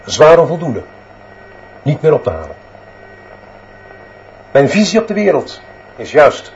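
An actor playing a stern, disillusioned headmaster, speaking in grave, clipped declarations in a radio drama monologue. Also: male voice, a little high, huge pitch swings, measured pace, gravelly, heavily acted. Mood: bitter, resigned. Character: stern, disillusioned male headmaster confessing his failure. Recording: dull muffled sound, faint background noise.

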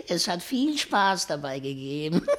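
An actor playing a cruel, bitter little companion to an emperor in a radio play, mocking and theatrical. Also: male voice, on the high side, very animated, slow, a bit bright, full character voice. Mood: cruelly gleeful. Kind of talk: 1950s German radio play acting.

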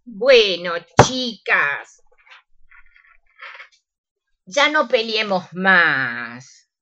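Prim Argentine older widow, acted by a woman, lively pitch. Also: drawn-out words, muffled recording, a little hiss or hum, heavily acted. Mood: fussing, coaxing. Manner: coaxing, chatty, fond of diminutives. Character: prim, fussing older widow, meek and self-sacrificing. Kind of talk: radio theatre comedy acting.

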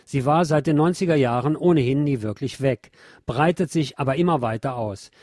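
A man's voice: medium pitch, brisk, darkish tone, no room sound, clean studio sound. A man reading scripted news and press reports as a radio presenter.

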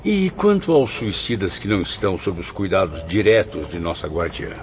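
A man's voice: low voice, huge pitch swings, normal speed, highs cut off, a little hiss or hum. A man playing a curious newcomer spirit in a radio soap opera, asking questions in a courteous, formal way. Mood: curious, polite. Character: curious, polite male newcomer spirit questioning his teachers.

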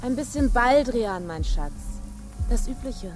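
A woman's voice: a little high, animated, a little slow, over music. An actress playing a jealous, manipulative woman in a radio crime drama, shifting between controlled sweet talk and menace. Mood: menacing, urgent. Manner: controlled, soothing endearments turning to menace.

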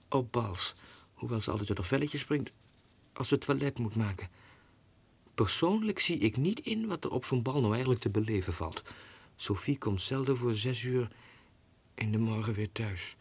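A man's voice: lowish voice, gravelly, slightly rough, full character voice. A man voicing a pampered, gossipy female lapdog's letters in an affected, dramatic way for a 1960s radio drama.

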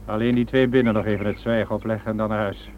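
A man's voice: fairly low pitch, level tone, fast, lightly acted. Man playing cold menacing crime boss, muffled recording. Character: menacing megalomaniac crime boss with aristocratic title. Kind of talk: Dutch radio drama acting. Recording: muffled, noisy old radio drama recording.

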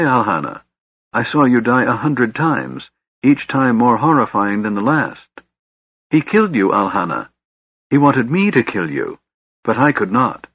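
A man's voice: mid-range voice, breathy edge, dry recording, lo-fi sound, voice pushed up, exaggerated voice. A man voicing a frail, tormented elf king from a fantasy storybook, delirious and strained.

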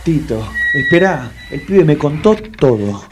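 An actor playing a compassionate, soothing and greedy friend with an Argentine accent in a comedic radio play. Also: male voice, fairly high pitch, big pitch swings, unhurried, breathy edge, exaggerated voice. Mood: compassionate, coaxing. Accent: Argentine Rioplatense. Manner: pleading, sympathetic. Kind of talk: comedic radio theatre acting.

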